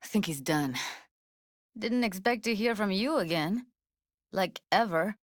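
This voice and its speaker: A woman's voice: medium pitch, animated, measured pace, no echo, studio quality, lightly acted. A woman voicing a streetwise, guarded young techie in a video game, blunt and slangy, with an American accent. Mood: guarded, sardonic. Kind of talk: naturalistic video game dialogue.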